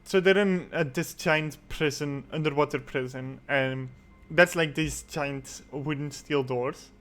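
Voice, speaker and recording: Higher-voiced man hosting a casual chat. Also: a little slow, fairly bright tone. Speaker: podcast host.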